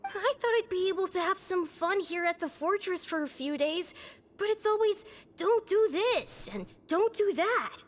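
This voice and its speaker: Young boy patient, whiny and restless, high lively read voiced by a woman. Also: normal speed. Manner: whiny, complaining.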